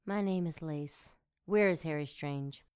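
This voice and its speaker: Seductive demon woman, slightly low pitch, sultry, mocking, ruthless, deliberate delivery, audio drama. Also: darkish tone, smooth. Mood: cool menace. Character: sardonic, seductive female succubus demon, ruthless interrogator.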